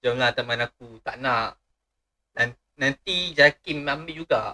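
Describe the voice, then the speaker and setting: A man's voice: mid-range voice, moderate pace, pushed and bright. A man with a Malaysian accent chatting casually as he livestreams a game. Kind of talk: casual gaming stream chat in Malay. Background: game music.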